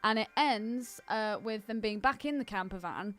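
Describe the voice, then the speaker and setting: A woman's voice: medium pitch, moderate pace, a bit bright, faint music behind. A woman co-hosting a podcast with teasing, sweary, casual banter. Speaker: podcast co-host.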